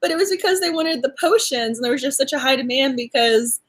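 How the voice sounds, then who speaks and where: High-voiced, animated woman chatting books. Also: fast talker. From Massachusetts. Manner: animated, laughs often, acts out reactions. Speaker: book club host. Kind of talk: casual book discussion.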